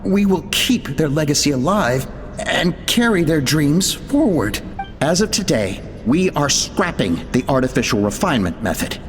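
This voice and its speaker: Solemn zealous researcher. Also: male voice, a little high, sing-song. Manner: measured, solemn. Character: zealous male researcher reading his grim logs. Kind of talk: video game voice acting. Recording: long echoing reverb. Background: faint game music.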